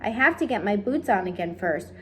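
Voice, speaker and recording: A woman voicing a bossy, impatient male centipede in a storybook reading, shouting his lines. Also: mid-range voice, animated, fairly quick, faint music behind, close to an everyday voice. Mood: fussy. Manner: loud and impatient.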